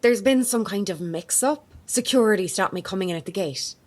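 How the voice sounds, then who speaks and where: A woman playing a sharp-witted, persistent investigative reporter in an audio drama, with an articulate, probing manner. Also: medium pitch, fairly quick, close to an everyday voice. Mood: polite, puzzled. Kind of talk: naturalistic audio drama acting.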